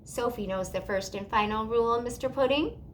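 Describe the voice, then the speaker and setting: A woman's voice: a little high, moderate pace, a little hiss or hum, close to an everyday voice. A woman voicing an affectionate, commanding aunt in a theatrical manner for a children's storybook. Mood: fond, brisk. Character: Auntie Claus, affectionate commanding grand aunt.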